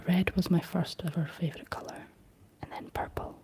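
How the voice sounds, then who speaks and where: A young woman with a Scottish accent hosting a whispered ASMR livestream, chatting gently through viewer comments in a soft, unhurried way; her voice is deep for a woman. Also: fairly even intonation. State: slight frog in throat.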